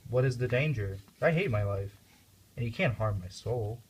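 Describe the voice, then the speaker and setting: A man's voice: medium pitch, animated, moderate pace, darkish tone, slightly rough, dull audio. A man playing a grieving, bitter young prince in an amateur puppet show. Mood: defiant.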